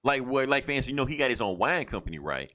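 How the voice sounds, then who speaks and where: A man's voice: mid-range voice, very animated, fast, bright, forceful tone. Emphatic man co-hosting a casual podcast. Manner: emphatic, rhetorical, repeats phrases for effect. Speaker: podcast co-host. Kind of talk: casual opinionated pop culture podcast talk.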